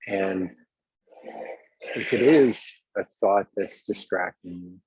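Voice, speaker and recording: A man with a husky voice leads a guided meditation as a coach, speaking gently and conversationally, unhurried and halting, with long pauses. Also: deep voice. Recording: dull, boxy, roomy lo-fi sound.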